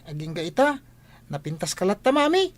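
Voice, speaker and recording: An actress playing an affectionate, admiring young daughter in an audio drama. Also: female voice, fairly low pitch, very animated, brisk. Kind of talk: Ilocano audio drama acting.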